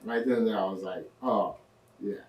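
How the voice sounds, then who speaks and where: A young man in his twenties, a podcast guest telling his story in a relaxed way with lots of fillers. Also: lowish voice, moderate pace, distant mic, noisy background. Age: 20s. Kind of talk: casual interview. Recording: echoey room, off-mic.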